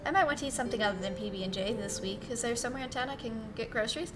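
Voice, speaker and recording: A woman's voice: a little high, very fast, music in the background, lightly acted. A woman voicing an eager, awkward, cheekily blunt newcomer in a visual novel, chatty and casual. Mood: curious. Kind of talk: visual novel character read-aloud.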